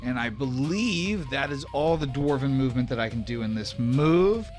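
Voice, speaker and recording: A man's voice: medium pitch, lively intonation, normal speed, a little mellow, faint music behind. A man narrating a tabletop roleplaying game as game master, in casual language with some swearing.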